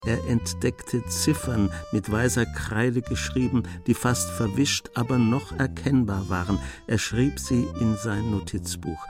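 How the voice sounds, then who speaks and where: A man's voice: mid-range voice, unhurried, few pauses, over music. A man narrating a radio crime drama in a scripted storytelling style.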